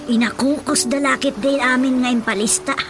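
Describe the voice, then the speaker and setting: A woman's voice: high voice, fairly even intonation, normal speed, close to an everyday voice. Actress playing a fretting Ilocano mother. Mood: practical. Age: older. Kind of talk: radio drama acting. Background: background music.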